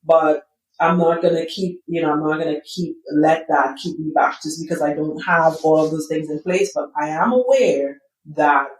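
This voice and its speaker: A middle-aged woman from Trinidad and Tobago with a Trinidadian accent, answering interview questions in an earnest, measured way and repeating words as she thinks. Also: low-pitched. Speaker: guest, school psychologist and business founder. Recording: roomy sound, distant from the mic.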